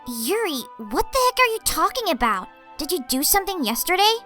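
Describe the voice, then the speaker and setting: Woman as high, bright, feisty schoolgirl. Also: big pitch swings, fairly quick, soft background music, full character voice. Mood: irritated. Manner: brash, blunt, secretly caring. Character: small, feisty, blunt teenage schoolgirl. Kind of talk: anime visual novel fan dub acting.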